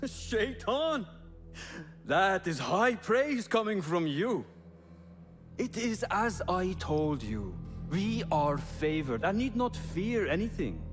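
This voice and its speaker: An actor playing a boastful, cruel villain general in a video game, teasing and self-satisfied. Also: male voice, high voice, sing-song, slow, soft background music, exaggerated voice. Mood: smug. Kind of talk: video game cutscene acting.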